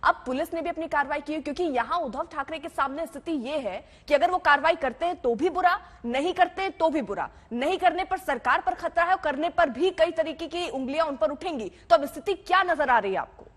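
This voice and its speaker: High-pitched woman news anchor, reverberant sound. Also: animated, a little fast, hardly any pauses, fairly bright tone. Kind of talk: live Hindi news presenting.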